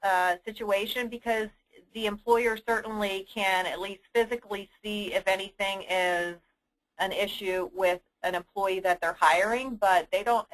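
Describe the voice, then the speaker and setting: A woman hosting a conference call briefing, answering submitted questions in an explanatory, businesslike and somewhat halting manner. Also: mid-range voice, a bit bright, low-quality recording. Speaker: host of an insurance agents' conference call. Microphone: telephone conference line.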